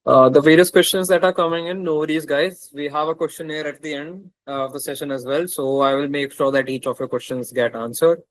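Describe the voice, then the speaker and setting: A man's voice: a little high, fairly even intonation, brisk, few pauses, no room sound, low-quality recording. A man co-hosting an online workshop, reading out audience questions and reassuring viewers that they will be answered. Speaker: co-host moderating questions. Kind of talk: casual moderation.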